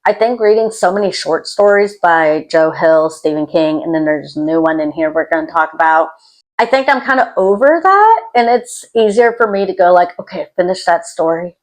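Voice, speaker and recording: A woman's voice: fairly low pitch, lively intonation, normal speed, rough recording. A woman talking casually to camera as a YouTube host, in an introverted, self-deprecating and rambling way. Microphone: external microphone.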